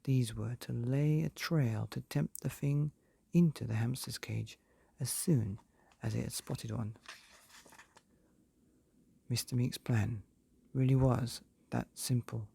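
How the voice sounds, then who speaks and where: A man narrating a bedtime storybook from a script, measured, with deliberate pauses between phrases. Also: mid-range voice, steady tone, gravelly, dark tone, husky. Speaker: narrator of a bedtime stories podcast.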